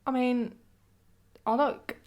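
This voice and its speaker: A woman's voice: a little high, fairly even intonation, takes it slowly, highs cut off. A young woman co-hosting a podcast, agreeable and often stumbling over her words. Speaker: podcast co-host. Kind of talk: casual chat.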